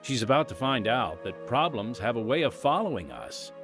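A man's voice: medium pitch, very animated, normal speed. A man hosting a drama podcast, giving an upbeat, scripted introduction. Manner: upbeat, emphatic presenting. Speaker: podcast host. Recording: music playing under the voice.

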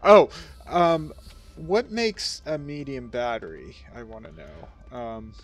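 Slow, hesitant man chatting over gameplay. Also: medium pitch. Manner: slow, hesitant, many fillers. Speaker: let's-play co-host. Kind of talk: casual gaming chat.

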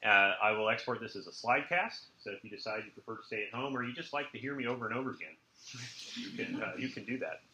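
A man's voice: fairly low pitch, animated, quick pace, pushed and bright, a little hiss or hum. A man giving an informal university lecture, with wry, jokey asides. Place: lecture room with students present. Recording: roomy lecture recording.

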